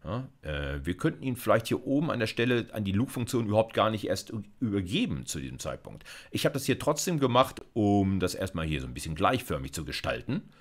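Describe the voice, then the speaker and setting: A man in his fifties with a low voice that moves up and down in lively swings. He explains code step by step as a lecturer, informally thinking aloud. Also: fairly quick, few pauses. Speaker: university computer science lecturer. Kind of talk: explanatory screencast lecture with live coding. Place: indoors, seated at a computer.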